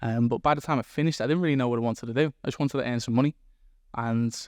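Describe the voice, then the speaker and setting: A man from England with a mild Liverpool accent, talking as a guest about his business in a relaxed, rambling way. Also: medium pitch, fast, dry recording, clean studio sound. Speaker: guest, recruitment business owner. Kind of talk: casual interview chat. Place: room in Dubai.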